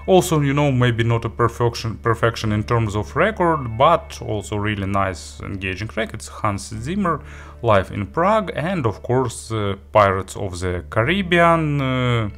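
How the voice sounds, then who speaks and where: A man giving a spoken audio gear review, phrasing things haltingly but with enthusiastic emphasis. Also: mid-range voice, lively intonation, fairly bright tone, soft background music.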